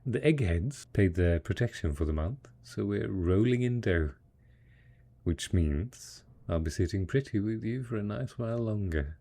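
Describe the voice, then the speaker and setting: A man's voice: fairly low pitch, lively intonation, a little slow, dark tone. A man playing a devoted post-apocalyptic husband in an intimate audio drama, speaking tenderly and patiently as he coaxes his monstrous spouse. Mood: contented, affectionate. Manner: tender, coaxing, patient, full of pet names.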